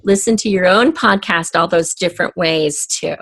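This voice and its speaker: A woman's voice: on the low side, moderate pace, a bit bright. Woman giving conversational advice in an interview. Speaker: interview guest, audio drama writer.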